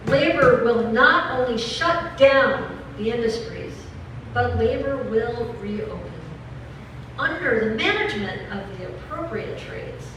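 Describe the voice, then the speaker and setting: A woman giving a dramatic reading as a resolute labor editorialist, solemn, deliberate and declamatory. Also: on the high side, expressive, recorded from a distance. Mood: emphatic, stirring. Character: resolute labor editorialist proclaiming a general strike. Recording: echoey room from afar, clear noise.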